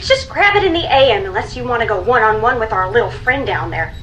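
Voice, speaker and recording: An actress playing a calm, wry young woman investigator with dry humor in a video game. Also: a little high, fast, faint music behind. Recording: dull game audio, faint ambient noise.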